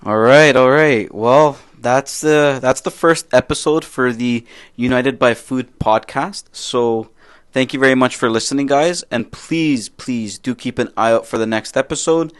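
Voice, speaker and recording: A man's voice: mid-range voice, measured pace, clean tone. A young man from Canada co-hosting a casual podcast, friendly and prone to repeating himself and restarting his sentences. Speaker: podcast co-host.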